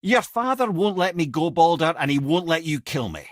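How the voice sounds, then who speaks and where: Wry old Scottish sage, video game storyteller, very animated swooping intonation, close dry sound. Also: male voice, on the high side, moderate pace, no echo. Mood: defiant, angry. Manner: wry, lilting, theatrical storyteller. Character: wry, worldly-wise talking severed head, old male storyteller sage. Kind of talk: video game character acting, storytelling and banter.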